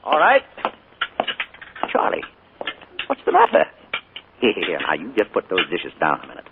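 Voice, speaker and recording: An actor playing a charming, secretive middle-aged uncle in a 1940s radio play, genial and hearty, sometimes hushed. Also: male voice, sits low, huge pitch swings, moderate pace, vocal fry, phone-quality sound, full character voice. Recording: scratchy 1940s radio broadcast.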